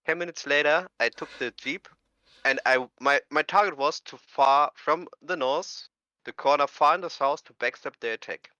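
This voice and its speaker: Gamer guy on lofi voice chat, bright clear voice, casual storytelling. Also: fairly high pitch, moderate pace, dry recording. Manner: informal, non-native phrasing. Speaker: competitive gaming team player being interviewed. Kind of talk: casual storytelling in post-match interview. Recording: dull lo-fi voice chat.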